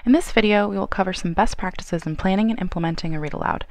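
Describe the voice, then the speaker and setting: Fast-talking woman narrator, slightly creaky. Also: mid-range voice, a little mellow. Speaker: narrator of a training video. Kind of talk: scripted instructional narration.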